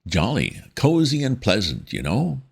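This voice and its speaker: A man voicing a dithering young upper-class English gentleman in a storybook reading, pitched well above his own voice and stammering. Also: huge pitch swings, measured pace, gravelly, slightly rough, heavily acted. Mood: content.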